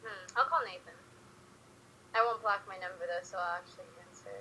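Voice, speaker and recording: A woman's voice: mid-range voice, brisk, pushed and bright, slight background noise, poor audio. A young woman hosting a casual livestream, chatting informally as she makes prank calls. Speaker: livestream host.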